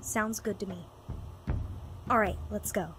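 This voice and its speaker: A woman voicing a mild, thoughtful pony adventurer in a cartoon, polite and measured. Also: medium pitch, fairly even intonation, background noise, lightly acted. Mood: ready, composed. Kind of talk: animated cartoon acting. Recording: cartoon dialogue under clear music.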